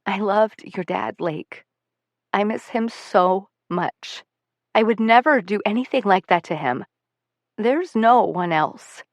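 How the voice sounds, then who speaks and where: Woman reading as a soft-spoken grieving mother. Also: mid-range voice, unhurried, dry recording, close to an everyday voice. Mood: tearful. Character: grieving middle-aged mother, gentle, apologetic.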